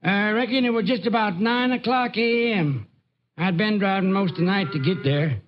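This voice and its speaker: A man plays a chatty Texan farmer in an old radio play. The voice is high-pitched, folksy and drawling. The recording is muffled. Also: normal speed, slight background noise, natural voice. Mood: casual. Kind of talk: 1950s radio drama acting.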